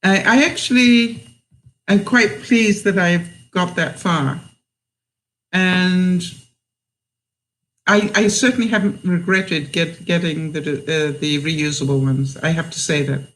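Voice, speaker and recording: A woman in her seventies with a mixed British accent, hosting a live stream and chatting with viewers in a halting way, full of fillers. She sounds a bit tired. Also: lowish voice, poor audio. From England. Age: 70s. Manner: unhurried, halting, with many fillers. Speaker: livestream host.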